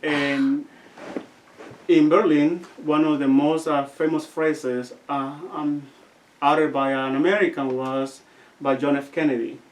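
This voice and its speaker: A young man with a Guatemalan accent speaks in a fairly high voice, telling his stories in a casual interview. He often stops mid-sentence to hunt for the right word. He sits a little back from the microphone. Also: level tone. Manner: halting, searching for words. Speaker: seminarian guest. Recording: distant from the mic.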